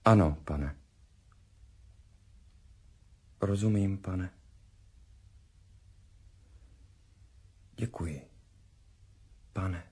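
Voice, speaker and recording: Mellow, husky, defensive pilot. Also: male voice, deep voice. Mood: resigned. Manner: clipped, dry, deferential. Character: subdued, defensive young pilot under questioning by superiors. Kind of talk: 1970s radio drama acting.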